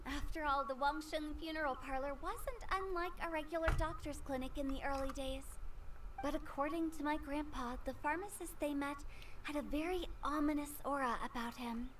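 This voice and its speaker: A woman's voice: sits high, moderate pace, fairly bright tone, recorded from a distance, a little hiss or hum. A woman voicing a quirky, talkative teenage girl in a video game, telling things in a theatrical way. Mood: suspicious.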